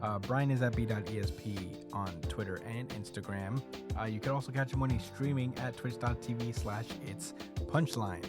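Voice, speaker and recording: A man's voice: medium pitch, steady tone, moderate pace, over loud music. A man co-hosting a podcast in casual chat. He laughs easily and keeps a loose, chatty flow. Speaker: podcast co-host.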